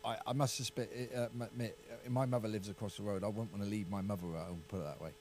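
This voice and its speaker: A middle-aged English man with an English accent, a county councillor talking through a political discussion in a halting way, repeating words and hedging. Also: fairly low pitch, fairly even intonation, a little mellow, a little hiss or hum.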